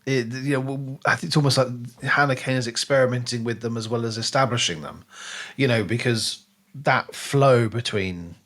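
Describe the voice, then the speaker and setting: A man's voice: medium pitch, moderate pace, a bit bright. Man chatting as a podcast co-host. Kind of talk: casual book discussion.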